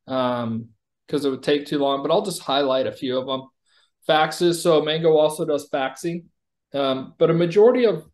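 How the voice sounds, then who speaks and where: A man in his forties giving an informal product demo over a video call in a plain-spoken, explanatory way. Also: fairly high pitch. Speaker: company chief executive giving a product demo. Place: indoor room on a video call. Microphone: video call microphone.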